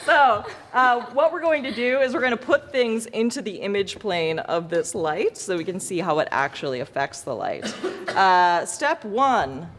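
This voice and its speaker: A woman's voice: medium pitch, animated, normal speed. Woman, informal instructor, good-humored, self-correcting, hands-on demo, long room reverb. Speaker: lighting design instructor. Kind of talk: informal hands-on demonstration to students. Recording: echoey reverberant hall, background noise.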